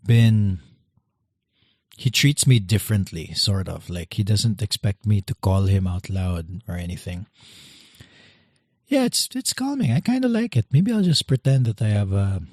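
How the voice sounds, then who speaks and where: Soft-spoken hoarse man. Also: fairly low pitch, no echo, clean studio sound. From Philippines. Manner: quiet, hesitant, many restarts. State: losing his voice, speaking softly. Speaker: podcast host. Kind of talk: casual solo podcast intro.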